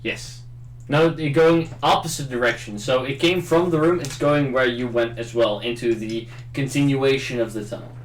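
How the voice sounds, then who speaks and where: A man's voice: mid-range voice, normal speed. A man narrating a tabletop roleplaying game as game master, in an echoing room. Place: indoor room, group game livestream. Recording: roomy, echoing room sound.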